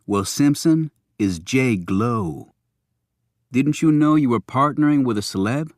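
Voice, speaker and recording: A man's voice: medium pitch, expressive, slow, a little mellow, dry recording, studio quality. A man voicing a teasing male agent who jokes with a colleague in an audiobook. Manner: teasing, joking.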